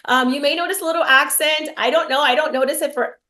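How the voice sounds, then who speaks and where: Bubbly woman from miami gushing about her business, higher voice. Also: fairly even intonation, quick pace, bright, forceful tone. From Cuba, raised in Miami. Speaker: network marketing presenter. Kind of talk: promotional live presentation.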